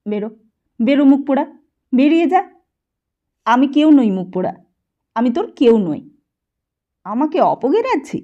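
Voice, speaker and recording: Cantankerous blind old grandfather, put on by a woman narrator: scolding, loud, high and swooping, lo-fi storybook reading. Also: very animated, quick pace. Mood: furious. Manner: shouting, scolding.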